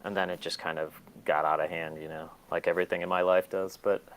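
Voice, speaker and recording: Low-voiced man, candid and rambling. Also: level tone, quick pace, fairly bright tone, a little hiss or hum, rough recording. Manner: candid, profane, self-deprecating. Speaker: guest, collector. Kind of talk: casual interview chat.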